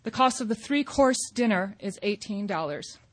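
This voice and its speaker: A woman's voice: medium pitch, lively intonation, moderate pace. A woman moderating a public affairs forum, making announcements in a businesslike way with some restarts.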